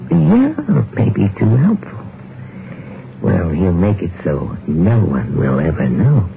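A sly, slow-drawling bookie, with a very low, gravelly voice that swings widely in pitch. It comes through a tinny, phone-like vintage broadcast with steady hiss. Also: male voice, heavily acted. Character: sly, gravelly bookie who deals with mobsters. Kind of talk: 1950s radio drama acting. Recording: tinny, hissy old radio broadcast.

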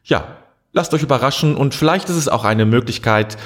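A man's voice: medium pitch, animated, fairly quick. A man hosting short interviews for a community outlet, talking in a conversational way with fillers and restarts. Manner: conversational, with fillers and self-corrections. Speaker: community media host. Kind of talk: casual report and interview.

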